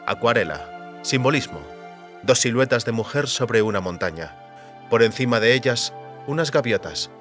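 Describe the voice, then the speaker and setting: A man reading scripted literary narration for an audiobook with measured, deliberate phrasing. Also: mid-range voice, lively intonation, fairly bright tone. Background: faint music.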